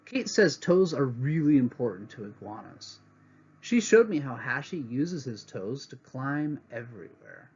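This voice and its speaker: A man's voice: medium pitch, big pitch swings, unhurried, darkish tone, breathy edge, lo-fi sound. A man teaching a read-aloud lesson to children, reading a picture book and adding teacherly asides from home.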